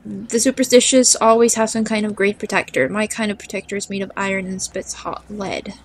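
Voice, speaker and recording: A woman reading out the lines of a gruff frontier man in her own plain voice. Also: mid-range voice, a little fast, a little hiss or hum, close to an everyday voice. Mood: dismissive. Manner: read flatly in her own voice.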